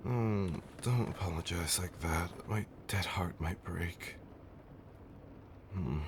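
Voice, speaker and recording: Guy doing a low voiced old vampire husband, doting and a bit fussy, comfort roleplay, noisy ambience behind him. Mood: tender concern. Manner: old-fashioned, genteel wording with dramatic pauses. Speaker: audio roleplay performer. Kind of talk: intimate comfort roleplay, ASMR-like storytelling.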